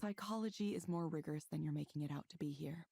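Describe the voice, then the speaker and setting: An actress playing a skeptical, questioning woman in a visual novel, speaking in a measured, challenging way. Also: sits low, vocal fry, dark tone, breathy edge, dry recording, studio quality, heavily acted. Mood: measured skepticism.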